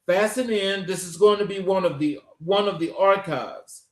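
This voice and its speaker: A man hosting an interview show, speaking in deliberate, oratorical phrases. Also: sits high. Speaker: show host. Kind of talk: scripted introduction and interview hosting. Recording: roomy, echoing sound.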